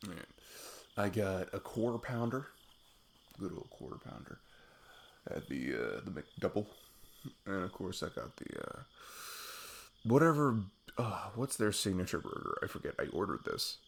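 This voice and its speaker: A man playing an affectionate, goofy best friend on a date in an intimate ASMR roleplay, rambling playfully in a husky, gravelly voice. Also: deep voice, very animated, measured pace, long pauses, heavily acted. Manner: rambling, playful, self-deprecating chuckles. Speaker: audio roleplay performer. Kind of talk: intimate ASMR roleplay, improvised casual chat.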